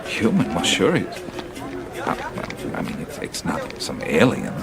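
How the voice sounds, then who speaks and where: An actor in a radio drama playing a thoughtful, troubled adventurer who speaks in a hesitant, musing way. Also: male voice, low-pitched, huge pitch swings, normal speed, gravelly, crowd noise, full character voice. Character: thoughtful, troubled male adventurer seeking answers.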